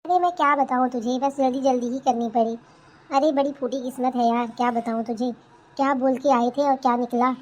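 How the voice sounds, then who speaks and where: A woman's voice: high-pitched, steady tone, brisk, a little mellow. A woman voicing a defiant, complaining young daughter-in-law in a comedy cartoon. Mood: exasperated. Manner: indignant, complaining, talks back. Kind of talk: Hindi cartoon family comedy dubbing.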